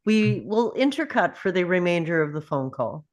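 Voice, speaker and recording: A woman's voice: fairly low pitch, sing-song, moderate pace. A woman reading scripted stage directions as narrator at a table read.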